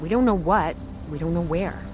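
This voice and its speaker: A woman's voice: on the low side, moderate pace, over music, close to an everyday voice. A woman playing a scrappy, friendly young treasure hunter in a video game, with a casual, earnest and slightly hesitant delivery. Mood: frank, helpful. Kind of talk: naturalistic video game dialogue.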